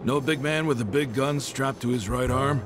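A man's voice: mid-range voice, a little fast, music in the background, close to an everyday voice. An actor playing a sly gangster henchman in a video game, drawling slang with a mocking, swaggering menace. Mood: sly, probing.

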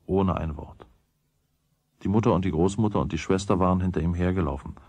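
A man with a husky voice reading scripted storybook narration in a radio play. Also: low voice, fairly quick, darkish tone, no echo.

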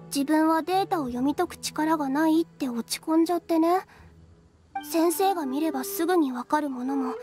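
Anime game role for an actress: shy young woman alchemist, extremely high girlish voice, earnest, easily flustered, music underneath. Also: moderate pace, natural voice. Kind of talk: Japanese anime game voice acting.

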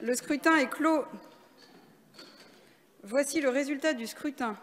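A woman's voice: high voice, unhurried, a bit bright. A woman in her thirties chairing a parliamentary session with formal procedural announcements. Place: large parliamentary chamber. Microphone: podium microphone. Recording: long hall reverb.

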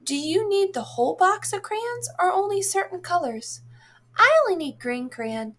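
A woman's voice: extremely high pitch, lively intonation, moderate pace, fairly bright tone. A woman voicing earnest, insistent young children in a picture book. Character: young children bargaining over crayons, earnest.

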